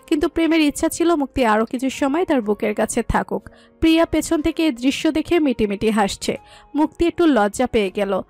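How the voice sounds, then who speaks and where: A woman's voice: high voice, animated, brisk, darkish tone. A woman reading scripted narration of a romantic story as its storyteller. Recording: music under the voice.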